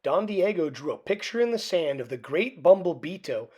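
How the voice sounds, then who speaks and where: A woman reading a children's picture book aloud in an expressive, theatrical way with dramatic pauses. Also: lowish voice, slightly rough. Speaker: storybook reader.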